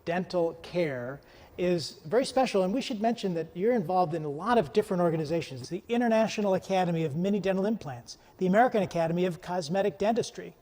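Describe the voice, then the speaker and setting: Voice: medium pitch, expressive, fast, mellow, big-room sound. An interview host leading a promotional chat, repeating words and reading out contact details.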